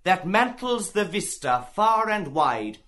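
A man's voice: high voice, very animated, slow pace, bright, forceful tone, voice pushed up, exaggerated voice. A man voicing an amused, teasing Irishman with a florid, drawling delivery in a literary audiobook. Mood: mock-grand.

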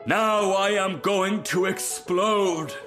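A man voicing a haughty, self-important firework rocket in a storybook, making pompous, drawn-out pronouncements. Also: medium pitch, big pitch swings, over music. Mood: grandly excited. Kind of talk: storybook character reading.

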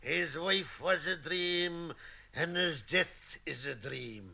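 A man's voice: a little high, slow, gravelly, sharp tone, heavily acted. An actor playing an old Irish father in a radio play, speaking in an impassioned, declamatory, repetitive way. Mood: emphatic, wistful.

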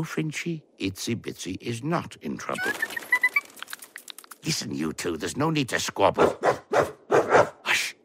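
A man playing an ancient talking stone wall in a children's audio drama, gravelly, grumbly but kindly, fussy and expressive. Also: very deep voice, noisy background, exaggerated voice.

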